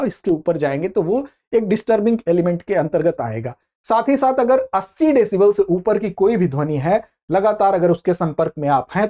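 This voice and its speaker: This is a current affairs lecturer with a soft, mellow tone. The delivery is didactic and explanatory, often asking a question and then answering it. Key points get repeated and rephrased for students. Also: medium pitch.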